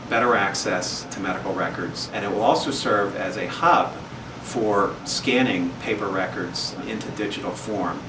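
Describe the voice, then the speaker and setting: A man speaking slowly and in a measured way in an interview. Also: lowish voice, distant mic. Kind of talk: interview talk. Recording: echoey room, off-mic, air conditioning hum.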